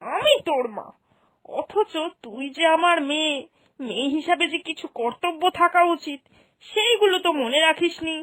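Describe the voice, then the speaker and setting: A woman playing an exasperated, sharp-tongued older mother and mother-in-law in a cartoon moral story, scolding with reproachful questions. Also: high voice, expressive, normal speed. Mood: angry, hurt.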